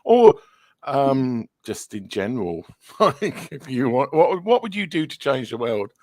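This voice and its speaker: A man co-hosting an interview, asking questions in a playful, animated way over a video call. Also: medium pitch, unhurried, dry recording. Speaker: co-host.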